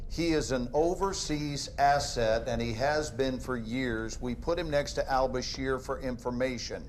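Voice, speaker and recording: A man's voice: mid-range voice, measured pace, slight background noise, natural voice, rough recording. A man playing a cold, dismissive government interrogator, condescending and insistent, in a dramatic video game cutscene. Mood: smooth, dismissive.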